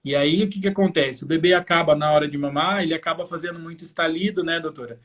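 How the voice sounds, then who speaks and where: Higher-pitched Brazilian man explaining clearly to parents. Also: fast, a bit bright. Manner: explanatory, fluent, addressing viewers directly. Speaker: host, infant osteopath. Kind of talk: live educational talk to parents.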